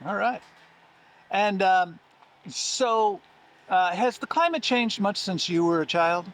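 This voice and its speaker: A man interviewing for an oral history project, asking his questions haltingly with many pauses and fillers. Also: sits high, animated, a bit bright, low-quality recording.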